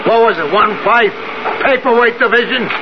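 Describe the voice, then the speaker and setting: Sneering, rough boss, high-pitched taunting delivery, muffled vintage radio drama sound. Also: male voice, normal speed. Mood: threatening, mocking. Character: bullying, sneering male work boss. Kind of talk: 1970s radio drama acting. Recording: muffled, noisy 1970s radio recording.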